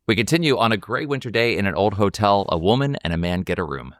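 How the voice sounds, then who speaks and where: Deep, smooth-voiced man chatting. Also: expressive, fast, no room sound, clean studio sound. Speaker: podcast co-host. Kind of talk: casual film discussion.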